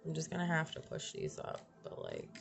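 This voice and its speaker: A young woman with a slightly raspy voice doing a casual tarot reading, thinking aloud, trailing off and restarting her sentences. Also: sits low, huge pitch swings, a little fast. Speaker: tarot reader. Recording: audible background noise.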